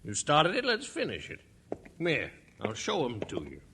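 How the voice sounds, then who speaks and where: An actor playing a grumpy, skeptical small-town doctor with a gruff, folksy manner in an old radio drama. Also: male voice, fairly high pitch, huge pitch swings, normal speed. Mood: sardonic. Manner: gruff, folksy, dismissive speech. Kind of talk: 1940s-50s radio drama acting. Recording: noisy, clicky vintage radio recording.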